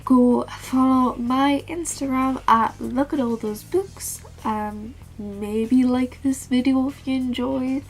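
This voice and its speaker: A teenage girl talking casually about books in a vlog, with a calm, flat-toned and slightly awkward way of speaking. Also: high voice, takes it slowly, hardly any pauses, poor audio. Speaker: book vlogger. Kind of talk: casual book tag vlog.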